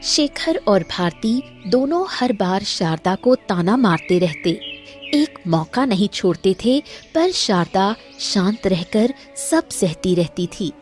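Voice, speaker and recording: A woman's voice: medium pitch, sing-song, takes it slowly, darkish tone, soft background music. A woman reading scripted narration as the storyteller of a moral tale.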